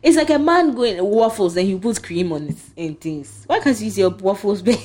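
A woman's voice: medium pitch, animated, normal speed, darkish tone, a little hiss or hum. A young woman co-hosting a casual podcast chat, blunt and emphatic in her opinions. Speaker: podcast co-host.

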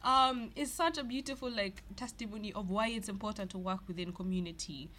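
A woman hosting a podcast, affirming and encouraging her guest, quick to laugh. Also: mid-range voice, bright, forceful tone. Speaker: podcast host. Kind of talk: casual podcast conversation.

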